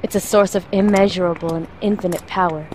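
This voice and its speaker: Lowish voiced assassin lady woman acting, wry n matter of fact, audio drama, noisy background. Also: steady tone, fast, darkish tone, lightly acted. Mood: explaining. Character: composed, wry female assassin with magic.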